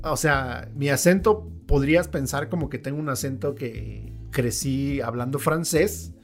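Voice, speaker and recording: A man's voice: medium pitch, unhurried, soft background music. A man, co-hosting a podcast in casual, crude, joking banter full of swearing. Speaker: podcast co-host. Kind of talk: casual chat.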